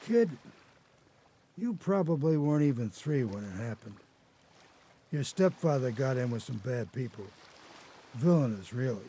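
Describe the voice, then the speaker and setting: An actor playing a haunted old grandfather, an escaped convict telling his story haltingly in a radio drama. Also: medium pitch, very animated, dark tone. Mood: bitter, confiding. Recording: noticeable background noise.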